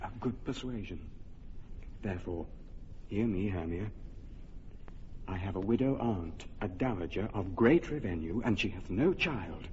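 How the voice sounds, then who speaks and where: A man's voice: sits low, lively intonation, unhurried, pauses often, darkish tone. An actor playing an earnest young nobleman in love, speaking verse tenderly in an old radio drama. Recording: dull lo-fi radio recording, faint hiss.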